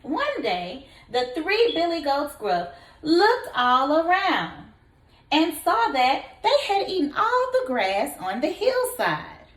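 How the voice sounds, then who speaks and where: A woman teacher reading a story aloud to young children in an expressive, deliberate way, prompting them with questions. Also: sits high. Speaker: kindergarten teacher. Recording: echoey room.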